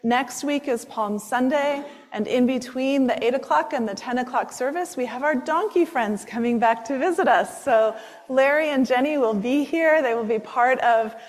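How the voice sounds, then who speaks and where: Woman priest, fairly high voice, chatty announcements, ringing church reverb. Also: moderate pace. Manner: chatty, self-interrupting with fillers. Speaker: priest leading the service. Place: church sanctuary. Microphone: microphone.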